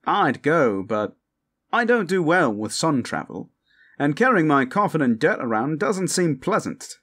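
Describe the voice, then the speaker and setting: A man's voice: a little high, sing-song, measured pace, fairly bright tone, pitched above the performer's own voice, exaggerated voice. A man voicing a touchy, blunt vampire in a conversational, wry way for a fantasy ASMR roleplay. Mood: reluctant. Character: touchy, blunt male vampire who travels with his coffin.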